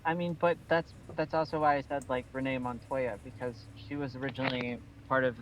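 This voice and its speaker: A man's voice: medium pitch, fairly even intonation, fairly quick. A man in a casual livestream chat, explaining things at rambling length and swearing casually. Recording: clearly noisy background.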